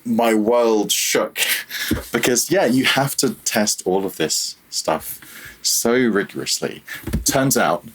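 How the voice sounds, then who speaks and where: A man's voice: medium pitch, takes it slowly, fairly bright tone, slight background noise. A man speaking as a guest in a casual technical interview, thinking aloud and often correcting himself mid-phrase. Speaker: guest, audio programmer.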